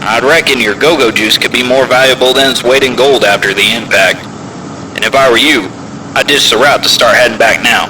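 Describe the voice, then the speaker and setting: A man playing a steady, seasoned trucker giving frank, measured advice in CB slang in an audio drama. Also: mid-range voice, close to an everyday voice. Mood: calm, advising. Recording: noisy CB radio in truck cab.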